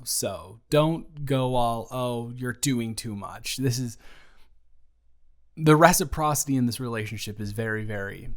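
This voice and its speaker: A caring young best friend, played by a man, speaks right to the listener in a middle-pitched voice. He is gentle and reassuring, yet blunt and honest when it counts, like a friend sitting close beside you. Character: supportive young male best friend, blunt but kind. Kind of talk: improvised audio roleplay.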